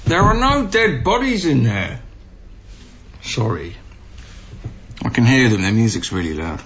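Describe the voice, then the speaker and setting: Animated, earnest young British man. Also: medium pitch, a little slow, slightly rough, exaggerated voice. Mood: wry, weary. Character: earnest, harried young council housing officer, expectant father. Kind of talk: naturalistic radio drama acting. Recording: muffled radio recording, noisy ambience.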